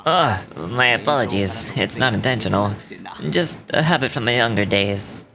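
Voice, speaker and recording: An actor playing a modest, genial elderly official with a gentle, unhurried way of speaking. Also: male voice, lowish voice, expressive, low-quality recording. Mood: warm. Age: older. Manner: gentle, unhurried, self-effacing. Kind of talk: game voice acting. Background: game music.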